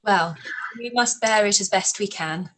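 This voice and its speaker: A woman's voice: medium pitch, unhurried, a bit bright, poor audio. An actress playing an earnest, articulate young woman in a live-read radio play. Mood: cool.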